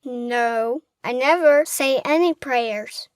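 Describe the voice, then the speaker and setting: Little orphan girl, high pitch, childlike, plaintive, earnest, close dry sound, audiobook drama. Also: slow pace, clear, resonant tone, no room sound. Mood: troubled, downcast. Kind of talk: full-cast audiobook acting.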